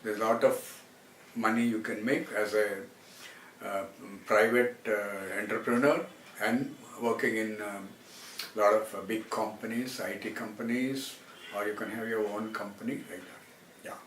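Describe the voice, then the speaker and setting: A man from Karnataka with a South Indian accent and Telugu as his first language, rambling to viewers about his own life in a halting way with repeated phrases. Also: fairly low pitch, fairly even intonation, a bit bright. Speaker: translator and former film actor. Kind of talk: rambling autobiographical talk to viewers. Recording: roomy, distant mic, faint background noise.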